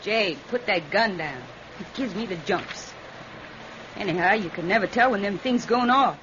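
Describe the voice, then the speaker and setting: A woman's voice: mid-range voice, expressive, normal speed, close to an everyday voice. An actress playing a callous, evasive farm wife and stepmother with a rural Southern American accent in a vintage radio drama. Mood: edgy. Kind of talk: 1940s radio drama acting. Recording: muffled, noisy old radio recording.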